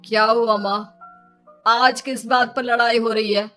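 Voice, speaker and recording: A woman's voice: fairly high pitch, fairly even intonation, a little slow, bright, forceful tone, soft background music. A woman voicing a harried, exasperated young husband in a children's cartoon. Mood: puzzled. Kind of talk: Hindi children's cartoon dubbing.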